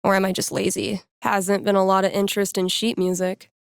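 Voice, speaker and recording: A wry young woman, played by an actress, thinks aloud as a video game character working in a record store. Her delivery is casual and conversational, with dry sarcasm and a nerdy, playful streak. The pitch sits in an ordinary middle range for a woman, and the sound is close and dry, free of room echo. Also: level tone, a little fast, creaky, smooth, lightly acted. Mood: wry, weary. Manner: casual, dryly sarcastic, with sighs and playful asides.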